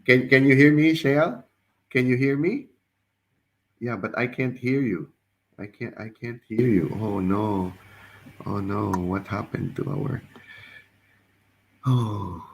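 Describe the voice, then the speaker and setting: A man hosting a casual online interview, his voice slightly raspy as he gets over the flu, speaking haltingly and often repeating words. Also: mid-range voice, low-quality recording. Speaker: podcast host. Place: remote video call.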